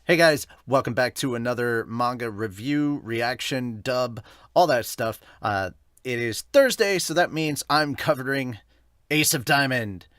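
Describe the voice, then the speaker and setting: A man's voice: medium pitch, measured pace, a bit bright, clean tone, no room sound, studio quality. A man hosting a podcast, chatting casually and rambling, often correcting himself as he reviews manga. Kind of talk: casual chatty review intro with live commentary.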